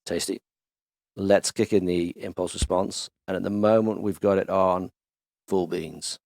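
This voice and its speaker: A man hosting a casual music production tutorial, chatty and enthusiastic as he walks through software instruments in his home studio. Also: sits low, a little slow, vocal fry, no room sound. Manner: relaxed, chatty, enthusiastic demonstrator. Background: instrument demo music.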